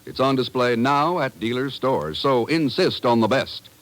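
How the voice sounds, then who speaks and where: A man's voice: mid-range voice, animated, unhurried, rough recording. A man working as a 1950s radio announcer, reading a script in a polished, emphatic way.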